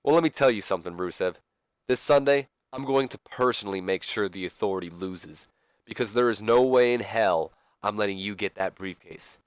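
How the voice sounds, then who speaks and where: A man performs a determined wrestler out for revenge. The delivery is a firm, threatening promo in a lowish, dry voice. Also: a little fast, clean tone, no room sound, natural voice. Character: determined, vengeful male wrestler threatening his rival.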